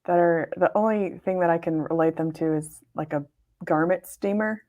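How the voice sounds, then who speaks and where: Upbeat young woman teacher, lowish voice, talking from her classroom. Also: moderate pace, mellow, muffled recording. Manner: upbeat, talkative. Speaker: kindergarten teacher and podcast co-host. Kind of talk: casual chat.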